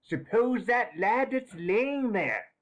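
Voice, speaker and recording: A woman's voice: a little high, expressive, takes it slowly. A woman reading a storybook voicing a scheming old man who is frantic and wheedling. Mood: agitated. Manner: agitated, wheedling, intense.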